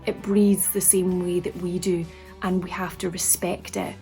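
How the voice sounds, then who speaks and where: A woman's voice: lowish voice, little pitch movement, unhurried, mellow, faint music behind. A Scottish woman from Caithness giving reflective interview talk. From Caithness, northern Scotland. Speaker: project team member.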